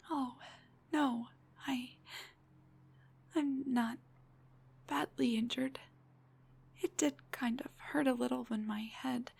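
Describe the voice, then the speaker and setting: Husky, flustered young monster girl, played by a woman. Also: sits high, a little mellow, heavily acted. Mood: shaky, sheepish. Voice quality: husky, breathy, creaky. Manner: hesitant, stammering, rambling confession. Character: embarrassed, self-deprecating young female Dullahan on a date. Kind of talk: intimate audio roleplay acting.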